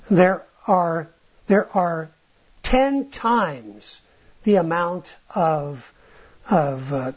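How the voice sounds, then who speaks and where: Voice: medium pitch, breathy edge. A Bible teacher talking informally, often restarting phrases and checking in with a questioning "right?". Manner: ends points with a questioning "right?"; restarts phrases, thinks aloud. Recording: dull lo-fi sound.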